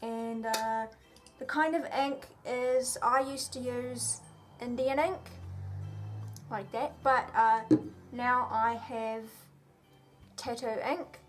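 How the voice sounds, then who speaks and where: Slow, halting woman with faint music. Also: on the high side, steady tone. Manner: slow, instructive, halting with fillers. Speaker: tattoo video creator. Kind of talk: tutorial video.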